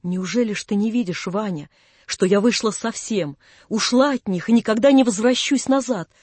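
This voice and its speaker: A narrator voicing an anguished but resolute young runaway daughter in an audiobook, hushed, breathless and despairing. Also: mid-range voice, animated, normal speed, slightly rough, dry recording. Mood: hopeless anguish.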